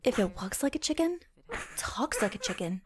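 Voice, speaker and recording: A woman playing a pampered, lazy teenage rich girl in an audio drama, teasing in a mocking, drawn-out way. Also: a little high, big pitch swings, background noise. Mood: smug teasing.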